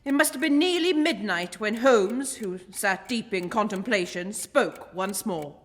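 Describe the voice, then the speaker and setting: Bumbling gentleman companion female actor, earnest, swooping intonation, radio play with hall reverb. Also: mid-range voice, expressive, measured pace, lightly acted. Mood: solemn narrating. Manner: polite, fretful, old-fashioned gentlemanly. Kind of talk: comic radio play acting and narration. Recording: hall reverb, music behind.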